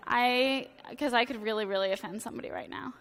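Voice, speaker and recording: A woman in her thirties, a priest, giving a casual, joking sermon with a hoarse, fading voice, chatty and self-deprecating with many filler words. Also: fairly high pitch, quick pace, a bit bright. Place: church sanctuary. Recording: long church reverb.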